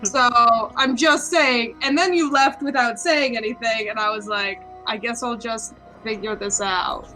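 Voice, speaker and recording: High-voiced woman ranting over a game. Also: fairly even intonation, measured pace, few pauses, bright, forceful tone, rough recording. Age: 20s. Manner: opinionated, ranting, swears freely. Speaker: gaming channel host. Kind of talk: casual chat while playing. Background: game music.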